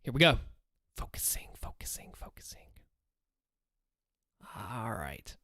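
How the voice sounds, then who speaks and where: A man's voice: medium pitch, big pitch swings, slow pace, pushed and bright, no echo, in a whisper. A man with a Southern US accent, chatting casually on a livestream, thinking aloud and encouraging viewers. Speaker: streamer. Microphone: close desk mic with headphones.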